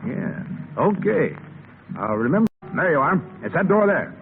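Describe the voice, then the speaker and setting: An actor playing a brash, tough, wisecracking Foreign Legion soldier in a 1930s radio drama. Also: male voice, on the high side, very animated, unhurried, gravelly, slight background noise, heavily acted. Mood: offhand. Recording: tinny 1930s radio with music behind.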